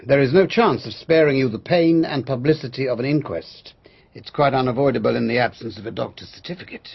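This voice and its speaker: Very husky, grave English solicitor. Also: male voice, medium pitch, fairly quick. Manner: formal, precise, grave. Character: grave, formal, discreet English family solicitor. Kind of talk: radio drama acting. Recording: dull, lo-fi radio drama recording.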